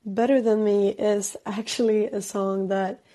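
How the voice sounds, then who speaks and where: A young Swedish woman speaks English with a clear Swedish accent, calling in as a guest. She sounds tired and searches for words, with lots of "uh" and "like", and laughs often between phrases. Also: medium pitch, fairly even intonation, unhurried, warm tone. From Sweden. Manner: hesitant, many fillers, frequent laughs. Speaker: pop singer guest. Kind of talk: casual call-in interview. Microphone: phone call-in.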